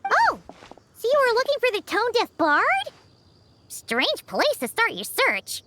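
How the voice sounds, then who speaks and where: A woman's voice: extremely high pitch, huge pitch swings, unhurried, background noise, heavily acted. A woman voicing a tiny, childlike, cheeky floating guide in a video game, exclaiming as she talks. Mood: curious, perky. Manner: speaks of herself in third person, exclaims. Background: game music.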